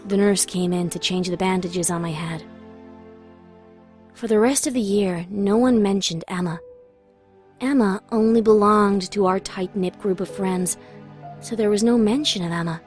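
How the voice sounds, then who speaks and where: A woman's voice: medium pitch, normal speed, a little mellow, soft background music. A young woman reading dramatic scripted first-person narration in an emotive, confessional manner.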